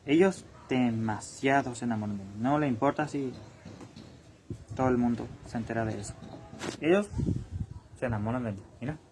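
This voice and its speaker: A man's voice: medium pitch, brisk, noisy background. A young man talking straight to his viewers in a boastful, repetitive monologue about himself. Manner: bragging, repetitive, addresses viewers directly.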